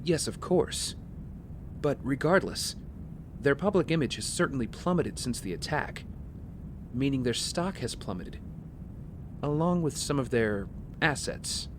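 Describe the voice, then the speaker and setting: Actor as a polite young heir, noisy recording. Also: male voice, mid-range voice, animated, unhurried, long pauses, lightly acted. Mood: earnest, persuasive. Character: wealthy young heir, polite, smooth-talking and guarded. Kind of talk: audio drama dialogue.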